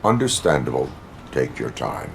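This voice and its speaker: A stoic cyborg policeman, speaks in an extremely low, gravelly voice. He is formal and deadpan, every phrase stiff and literal, as in a video game, with noisy ambience behind him. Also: normal speed, exaggerated voice. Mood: flat, dutiful. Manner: stiff, formal, deadpan delivery without contractions.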